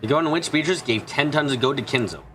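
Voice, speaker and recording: Male fan-dub narrator for a visual novel: brisk pace, forceful bright tone, expressive read. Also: medium pitch, soft background music. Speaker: fan dubber reading a visual novel aloud. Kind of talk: scripted narration with character dialogue, fan dub.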